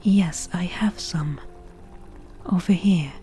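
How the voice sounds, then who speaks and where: A woman playing a crafty, slightly defensive forest witch in an ASMR roleplay, speaking in a soft breathy whisper with measured, dry delivery. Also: on the low side, faint music behind. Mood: breezy, confident. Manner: slow, measured, matter-of-fact with dry asides. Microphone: close ASMR microphone.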